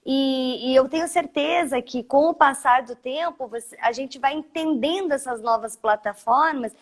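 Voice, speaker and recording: A woman's voice: sits high, moderate pace. A Brazilian woman interviewer chatting casually with her guest over a video call.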